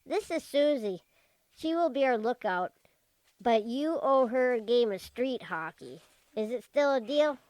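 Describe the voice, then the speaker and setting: A woman's voice: on the high side, lively intonation, measured pace, a little mellow, no echo. A woman playing a scrappy, streetwise young girl in a radio detective drama, bossy and slangy. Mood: bossy, bargaining.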